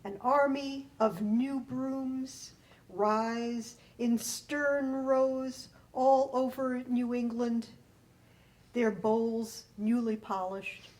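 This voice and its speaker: Woman, slightly high voice, extremely slow, measured, deliberate poetry reading. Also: steady tone, darkish tone, poor audio. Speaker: writer reading own poem.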